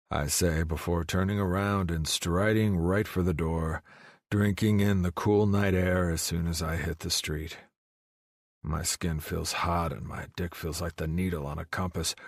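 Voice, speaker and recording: A man's voice: deep voice, slightly rough, dry recording, studio quality. A man narrating a romance audiobook in the first person, in a brooding, terse manner.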